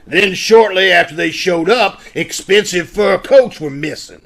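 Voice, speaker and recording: A man playing a blustery, suspicious police inspector in an old-time radio drama, with a forceful, accusing manner. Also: high-pitched, measured pace. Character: blustery, suspicious male police inspector hunting fur thieves.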